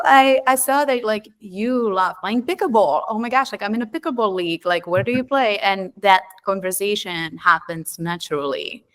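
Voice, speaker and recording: A woman's voice: lowish voice, fairly bright tone. A woman in her thirties with a Czech accent, a podcast guest chatting casually and filling her talk with "like" and "um". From Czech Republic. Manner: chatty, many fillers like "like" and "um". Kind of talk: casual interview chat.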